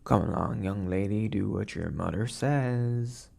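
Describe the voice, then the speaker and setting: A woman playing a gruff, bossy asylum orderly in an audio horror drama. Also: extremely low pitch, a little slow, dark tone, exaggerated voice. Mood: firm.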